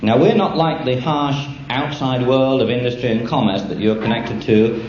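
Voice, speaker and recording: A British man giving a humorous after-dinner speech in a deadpan manner, with dry understatement. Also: medium pitch, moderate pace. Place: function room with an audience. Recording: echoey, dull, very noisy live room recording. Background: audience laughter, loud noise.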